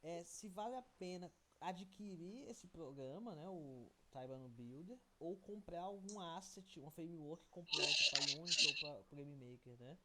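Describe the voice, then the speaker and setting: A woman's voice: low-pitched, animated, moderate pace, hardly any pauses, noisy background. A woman. She talks conversationally as a participant in an online discussion, often seeking agreement as she goes. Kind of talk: casual discussion.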